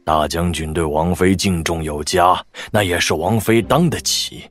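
A man's voice: sits low, measured pace. An actor playing a deferential, humble older father-in-law in an audio drama, with music behind him. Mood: humble, flustered.

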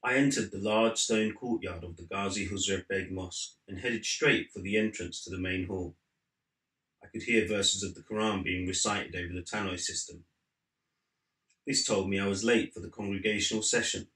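Low, bright-voiced man reading aloud. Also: steady tone, normal speed. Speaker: author reading from own book. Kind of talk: measured literary read-aloud narration. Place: living room at home, seated in an armchair. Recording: slightly roomy indoor sound.

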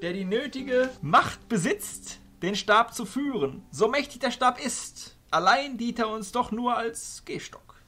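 A man playing an old, courteous illusionist arch-chancellor with a ceremonious, deliberate manner in a fantasy audio drama. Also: extremely high pitch, lively intonation, sharp tone, heavily acted. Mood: solemn, explaining.

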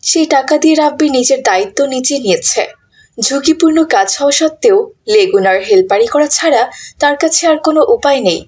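Female story narrator, fairly high voice, scripted delivery, children's moral cartoon. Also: expressive, fast, a little mellow.

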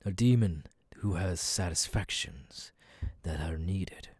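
A man voicing a menacing, haughty, sadistic demon king in an ASMR audio roleplay, soft-spoken and condescending. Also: low voice, takes it slowly, breathy edge, heavily acted. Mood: smug, commanding. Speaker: voice actor.

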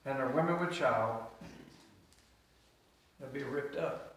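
A man's voice: mid-range voice, slow pace, a bit bright, a little hiss or hum. A middle-aged man, preaching a sermon and drawing answers from the congregation. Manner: preaching with call-and-response. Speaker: pastor. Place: small church sanctuary. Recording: echoey church from far off.

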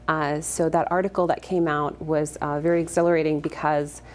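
A woman in her thirties from Afghanistan, with a notably low voice, speaks earnestly and fluently with soft ums. She is a guest on a TV studio set, talking into a lapel mic. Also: little pitch movement, fairly quick. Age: 30s. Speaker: actress guest. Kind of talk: morning TV interview.

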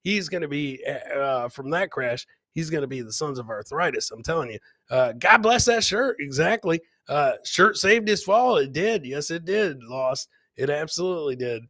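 A man's voice: fairly high pitch, animated, normal speed, fairly bright tone, no echo, clean studio sound. A middle-aged man doing casual, jokey live commentary on video clips as a comedian host, often repeating his catchphrases. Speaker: comedian hosting a livestream clip show.

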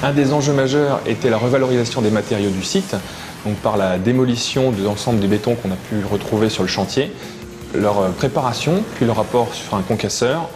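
A man's voice: mid-range voice, a little fast. A man, a contractor's manager, explaining in a composed way in a documentary interview. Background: background music.

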